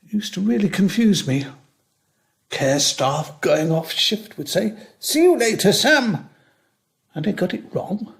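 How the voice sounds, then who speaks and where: An older man playing a lonely, wry retired teacher near eighty in a care home, in a reflective, measured dramatic monologue. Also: high-pitched, expressive, gravelly, exaggerated voice. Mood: mimicking others.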